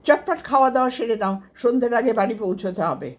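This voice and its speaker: An agitated uncle from a family story , acted out by a woman narrator. He scolds and insists in exclamations. The voice is husky and lifted well into a higher pitch. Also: expressive, brisk. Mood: hurrying. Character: agitated, scolding middle-aged uncle in a jeep.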